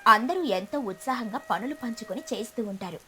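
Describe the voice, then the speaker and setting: A woman's voice: fairly high pitch, animated, fairly quick, fairly bright tone. A woman narrating a scripted children's story. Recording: music behind the voice.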